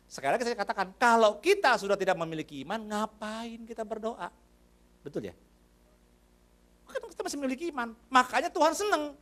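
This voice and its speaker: A man's voice: very high-pitched, fairly bright tone. A man preaching a sermon in a church. He talks to the congregation in a conversational way, addresses them often and asks them questions. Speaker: pastor.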